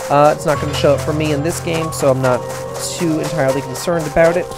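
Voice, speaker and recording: A man's voice: a little high, fairly even intonation, fast. A man hosting a let's play with casual, offhand game commentary. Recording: game music behind the voice.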